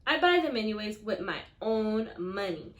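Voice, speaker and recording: A woman reading a children's picture book aloud expressively, acting out the dialogue and pausing to put questions to listeners. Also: mid-range voice, lively intonation, fairly bright tone, roomy sound. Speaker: read-aloud storyteller.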